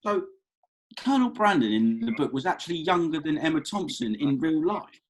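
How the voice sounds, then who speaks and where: A man's voice: on the high side, lively intonation, normal speed, soft background music, close to an everyday voice, rough recording. An actor playing a cheeky, bumbling young British man, colloquial and hesitant, in a read-through of a comedy script. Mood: cheeky, bemused. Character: easygoing, cheeky, bumbling young bloke at festival.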